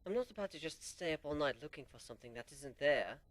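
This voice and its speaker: A man's voice: on the high side, lively intonation, quick pace, lightly acted. A man voicing a prim, skeptical young pony mare who talks in an exasperated, protesting way, in an amateur dramatic story reading.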